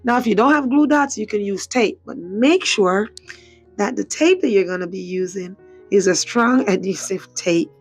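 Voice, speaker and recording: Hoarse chatty woman crafting tutorial. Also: mid-range voice, moderate pace, soft background music. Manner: chatty, repeats herself, frequent "so" and "again". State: hoarse, voice mostly gone. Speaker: craft channel host. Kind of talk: casual tutorial voice-over.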